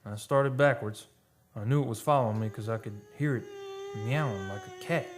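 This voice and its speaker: A man plays a haunted, obsessed oil driller telling his horror in a radio play. He speaks in a mid-pitched, halting, brooding way, with dramatic weight. There is some noise behind him. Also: lightly acted. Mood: tense, fearful.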